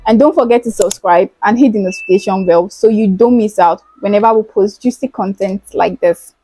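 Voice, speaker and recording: A young woman hosts a chatty gossip show, teasing and animated. Her voice is mellow and a little husky, picked up close by a lapel mic in a small indoor studio. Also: mid-range voice, fairly quick, dry recording, faint music behind, rough recording. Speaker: host. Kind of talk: chatty scripted gossip vlog. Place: indoor studio, plain backdrop.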